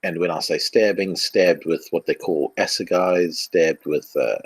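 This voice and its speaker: A man's voice: deep voice, unhurried, breathy edge, no echo. A man with a South African accent, telling stories in a casual podcast chat and laughing often. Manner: animated storyteller, laughs often. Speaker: co-host.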